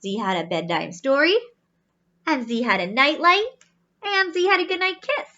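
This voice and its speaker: A woman's voice: high-pitched, big pitch swings, normal speed, bright, forceful tone, lo-fi sound. A woman reading a children's storybook aloud expressively, adding explanatory asides and wondering aloud to young listeners.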